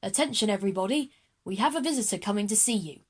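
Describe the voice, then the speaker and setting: A woman's voice: mid-range voice, animated, quick pace, husky. A woman voicing a formal, welcoming male headmaster making announcements in a children's audio drama. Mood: announcing, reassuring.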